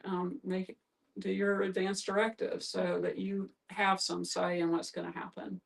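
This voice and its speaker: A woman's voice: medium pitch, level tone, measured pace, vocal fry, far from the mic, poor audio. A middle-aged woman facilitating a reflective group discussion, speaking in an earnest, advising way.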